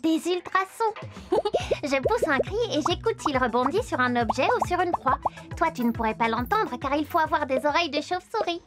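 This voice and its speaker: A woman voicing a polite, gentle female bat who patiently explains things in a children's cartoon. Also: high-pitched, animated, normal speed, over music.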